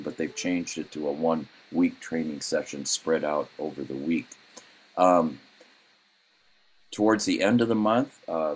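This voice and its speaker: A man with an extremely low, husky voice runs through the week's club announcements. He stops and restarts often, filling the gaps with ums and uhs. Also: low-quality recording. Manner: halting, many ums and uhs. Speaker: club president. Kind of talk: meeting announcements.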